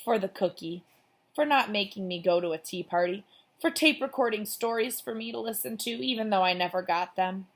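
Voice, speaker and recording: A small, invisible imaginary friend from a children's story, played by a woman, speaking in a raised pitch. He is anxious and earnest, full of wondering questions and wry little asides. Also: fairly quick, close to an everyday voice. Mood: grateful.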